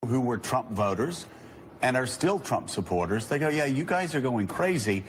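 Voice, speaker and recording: A man speaking as a panelist in a live TV news discussion, in an animated way. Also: mid-range voice, normal speed, a little hiss or hum.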